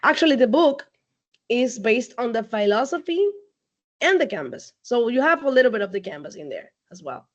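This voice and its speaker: A woman, a company CEO, speaking casually as a guest on a video call, laughing often and filling pauses with "um". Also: fairly high pitch, very animated, dull audio. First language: Spanish. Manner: chatty, laughs often, many fillers. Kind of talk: casual online talk.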